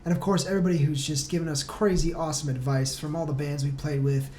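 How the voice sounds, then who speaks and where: A woman's voice: low-pitched, steady tone, fast talker, dark tone. Informal woman guest, echoey room. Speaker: podcast guest, band member. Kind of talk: casual chat. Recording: echoey room, faint background noise.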